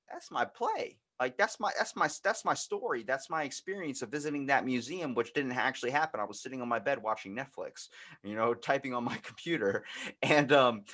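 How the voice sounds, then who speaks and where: A young American man chatting casually, rambling through anecdotes and acting out the quoted bits as he goes. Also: medium pitch. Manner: rambling, animated retelling with acted-out quotes. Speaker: podcast host. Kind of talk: casual storytelling chat.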